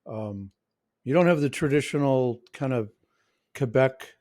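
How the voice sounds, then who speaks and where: A man's voice: mid-range voice, unhurried, a little mellow, no echo, studio quality. Rambling American man hosting a podcast. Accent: Midwestern and New York American. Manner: rambling, many restarts and fillers. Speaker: podcast host. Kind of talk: casual interview chat.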